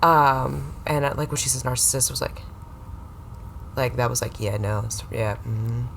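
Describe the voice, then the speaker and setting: A woman's voice: very deep voice, little pitch movement, moderate pace, vocal fry, noisy background. A woman, a guest on a comedy podcast, telling stories in a rambling, hesitant way full of restarts. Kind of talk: casual comedic chat.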